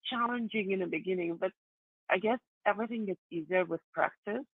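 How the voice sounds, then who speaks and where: Latvian-accented actress on a tinny line. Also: female voice, mid-range voice, fairly quick, slightly rough, no echo. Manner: chatty, laughs easily, hesitant fillers. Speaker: actress and singer guest. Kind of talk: interview answers. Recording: tinny phone-like line, dry.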